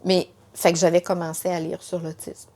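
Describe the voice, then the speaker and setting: Middle-aged woman, Quebec French accent, emotional personal testimony, halting delivery with repeated words and fillers. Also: lowish voice, level tone, darkish tone. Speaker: mother telling her story.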